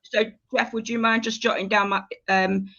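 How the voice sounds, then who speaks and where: Creaky-voiced woman leading a workshop. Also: mid-range voice, level tone, moderate pace, pushed and bright. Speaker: creative writing workshop leader. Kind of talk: conversational group teaching. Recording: dull lo-fi audio.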